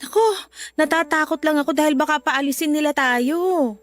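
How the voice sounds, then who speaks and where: A woman's voice: high voice, moderate pace, faint music behind, lightly acted. A woman playing a poor, anxious but loving mother in a Filipino radio drama, with a tender, careworn manner. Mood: worried.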